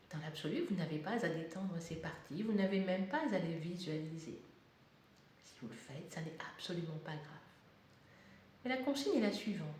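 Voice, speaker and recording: A woman with a slightly low voice leads a guided meditation. She speaks very slowly and calmly, leaving pauses between short, deliberate instructions. The room is very echoey, with a faint rumble of noise behind her. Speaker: yoga nidra guide.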